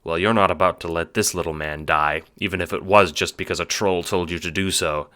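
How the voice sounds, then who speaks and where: Brief: male audiobook narrator, deep and bright-edged, wry, dramatic delivery of a scripted story. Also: steady tone, brisk.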